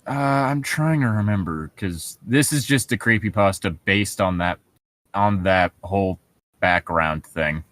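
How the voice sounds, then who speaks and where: A man co-hosting, reading internet horror stories aloud with casual commentary in a halting, self-correcting manner. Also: sits low, a bit bright, dry recording.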